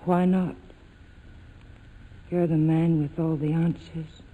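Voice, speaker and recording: Determined forties radio heroine. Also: female voice, deep voice, little pitch movement, slow, phone-quality sound. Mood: bitter. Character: determined, bitter young woman, a hard-edged heroine. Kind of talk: 1940s radio drama acting. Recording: faintly noisy vintage radio broadcast.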